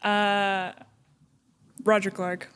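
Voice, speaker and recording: A woman's voice: medium pitch, little pitch movement, very slow, a bit bright, clear, resonant tone. A woman, a guest on a casual podcast, answering hesitantly and mocking herself. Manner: hesitant, self-deprecating. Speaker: guest voice actor. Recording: noisy background with clicks.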